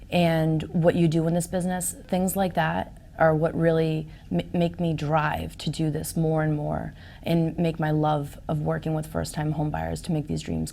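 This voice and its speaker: A woman's voice: sits low, creaky, a little mellow. A woman in her forties whose first language is Portuguese, talking as a real estate agent in an interview, upbeat and emphatic. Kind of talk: interview conversation.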